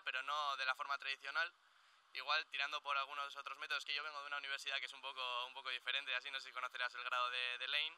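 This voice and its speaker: A man's voice: mid-range voice, sharp tone, clear, resonant tone, low-quality recording. A young man in his twenties asking panelists a rambling, casual question in a debate.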